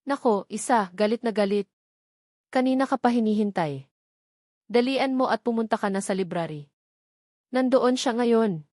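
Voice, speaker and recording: A woman's voice: on the high side, moderate pace, long pauses, a little mellow, dry recording, lightly acted. A worried Filipino housemaid played by a woman narrator speaks with urgency, like an older sister, in an audio novel. The recording is dry. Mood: urgent, worried. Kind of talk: dramatized novel dialogue.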